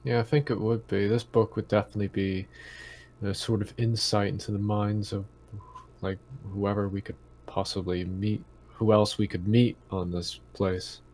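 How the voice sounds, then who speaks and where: A man's voice: on the low side, little pitch movement, moderate pace, a little mellow. A man chatting casually as a tabletop roleplaying player, thinking aloud in a tentative way. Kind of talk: casual game-table chat.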